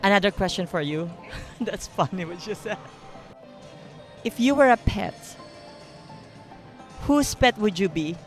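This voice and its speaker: A woman's voice: medium pitch, expressive, measured pace, pauses often. A woman interviewer asking light, teasing questions with a giggly manner. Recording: music playing behind.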